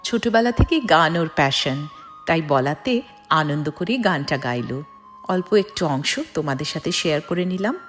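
A middle-aged woman narrates her personal vlog. She talks to viewers as friends, with soft music behind her. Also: sits low, lively intonation, moderate pace. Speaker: vlogger. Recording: music under the voice. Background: background music.

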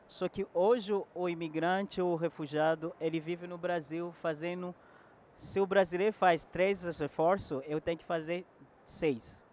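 French-native man with a high voice, earnest panel guest on a handheld mic. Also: steady tone, unhurried, a little mellow. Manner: earnest, searching for words. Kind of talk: casual talk at a public panel. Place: large indoor event hall.

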